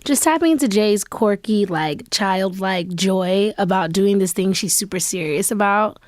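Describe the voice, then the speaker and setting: Expressive woman chatting on a panel, middle pitch, playful, dry studio-like sound. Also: a little slow, no room sound. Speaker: guest actor. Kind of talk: casual panel interview.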